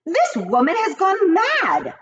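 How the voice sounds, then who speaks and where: A woman voicing a shrill, haughty lady who shrieks and looks down on others. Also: wildly swooping pitch, slow, a bit bright, exaggerated voice. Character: shrill snobbish woman, hotel guest, terrified of mice. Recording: dull lo-fi with faint hiss.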